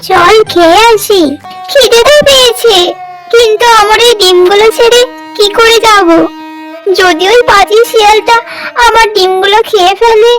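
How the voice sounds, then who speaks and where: A woman's voice: very high-pitched, moderate pace, low-quality recording. A woman voicing a small, childlike, earnest bird in a children's cartoon. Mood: earnest, caring. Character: small anxious and caring cartoon songbirds, young and childlike. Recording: faint music behind the voice.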